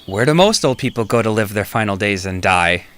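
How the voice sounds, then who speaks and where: A man's voice: on the low side, lively intonation, a little fast, a bit bright, clear, resonant tone, soft background music. A man playing a weary, remorseful old man in a theatrical audio drama.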